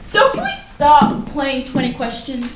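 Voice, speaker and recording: A woman acting an anxious, earnest high school girl in a staged play reading. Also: huge pitch swings, a little slow, exaggerated voice. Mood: puzzled, insistent. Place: indoor room at a staged reading. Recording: roomy, distant mic, faint noise.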